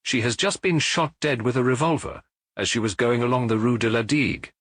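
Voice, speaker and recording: Guy doing a bossy little police inspector for an audiobook, curt, pitched up, husky. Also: fairly quick, fairly bright tone, no echo, clean studio sound, lightly acted. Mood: matter-of-fact. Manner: curt, authoritative. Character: brisk, commanding small male police inspector.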